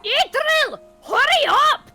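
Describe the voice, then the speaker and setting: A woman voicing a shrill, scolding Scottish mother from a visual novel, hollering impatient drawn-out commands. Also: sing-song, pushed and bright, soft background music, exaggerated voice. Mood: impatient yelling.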